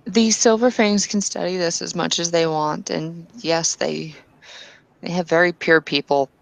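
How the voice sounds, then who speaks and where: A woman playing Bettina, an earnest and devout kinfolk woman, arguing insistently with halting restarts in a tabletop roleplay. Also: on the low side, fairly even intonation, gravelly, no echo, low-quality recording. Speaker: player character.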